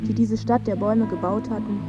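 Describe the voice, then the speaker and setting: A woman's voice: mid-range voice, steady tone, brisk, dull audio, over music, close to an everyday voice. An actress playing a dreamy, wistful schoolgirl narrating her daydream lyrically in a radio play.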